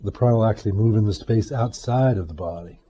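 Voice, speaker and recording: Very dark, mellow male meditation teacher. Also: on the low side, slight background noise. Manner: measured, deliberate, with pauses between short phrases. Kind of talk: guided meditation instruction.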